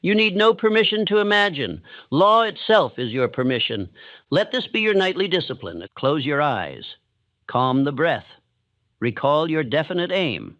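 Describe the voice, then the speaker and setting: Calm guy reading sleep audiobook stuff, slightly high voice, steady and solemn, kinda dull sound. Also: moderate pace, no room sound. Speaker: audiobook-style narrator. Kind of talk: slow scripted motivational sleep narration.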